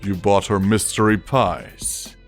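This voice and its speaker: A man voicing a weary, caring father pony in a storybook, speaking in a measured, quiet, gentle way. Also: on the low side, fairly even intonation, soft background music. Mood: dry.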